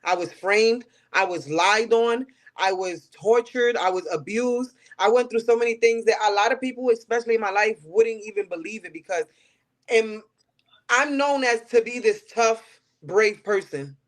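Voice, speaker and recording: A woman in her late thirties with a high voice and a New York accent. She talks candidly as a podcast guest, stressing her points with emphatic repetition. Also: normal speed. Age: late 30s. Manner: emphatic, repeats phrases for stress. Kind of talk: candid interview talk.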